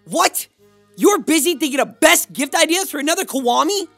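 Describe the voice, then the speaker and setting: A woman acts out a teenage cartoon fanboy, awkward and excitable. The voice is high for a boy and very animated. Faint music runs behind it. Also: normal speed, gravelly, exaggerated voice. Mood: exasperated. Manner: hesitant, then frantic. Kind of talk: comic dub cartoon acting.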